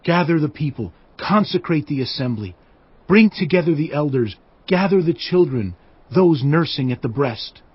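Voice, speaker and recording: A man's voice: fairly high pitch, lively intonation, normal speed, breathy edge. A man narrating dramatized scripture with earnest, declamatory phrasing. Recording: dull lo-fi audio. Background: faint background music.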